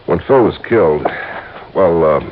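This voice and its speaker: An actor playing a gruff, plain-spoken small-town police chief with halting speech, in an old-time radio drama. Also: male voice, low voice, expressive. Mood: reflective. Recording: very dull, noisy old radio recording.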